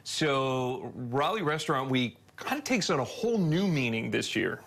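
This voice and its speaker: A middle-aged man anchoring a TV studio interview, friendly, with a few halting restarts. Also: mid-range voice, expressive, creaky, a bit bright. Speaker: news anchor.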